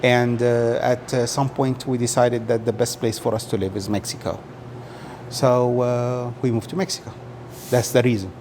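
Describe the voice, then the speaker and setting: A man from Saudi Arabia, a native Arabic speaker, answering casually in an interview and often pausing and repeating words as he explains. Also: medium pitch, flat delivery, darkish tone, a little hiss or hum. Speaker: interview guest.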